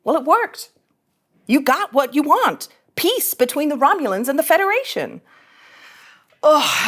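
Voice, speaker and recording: A woman's voice: sits high, heavily acted. A woman playing a cocky, persuasive young female starship ensign in a theatrical, sardonic sci-fi script reading. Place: studio.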